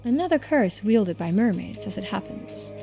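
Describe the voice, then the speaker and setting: A woman voicing a genteel, composed and secretive housewife in a video game, speaking politely, formally and with quiet persuasion. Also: medium pitch, lively intonation, moderate pace, gravelly, faint music behind. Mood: knowing. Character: genteel, composed, secretive housewife who knows occult lore. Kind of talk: video game dialogue read aloud.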